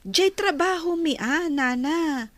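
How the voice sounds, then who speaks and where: A woman's voice: very high-pitched, slow, no echo. An actress playing a polite young working woman in an Ilocano radio drama. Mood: polite, earnest.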